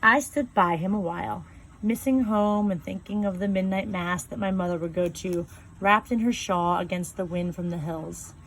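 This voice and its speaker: A woman reading a first-person novel aloud in a calm, measured storytelling voice, slowing down on key phrases. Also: on the low side, slight background noise, poor audio. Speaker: reader.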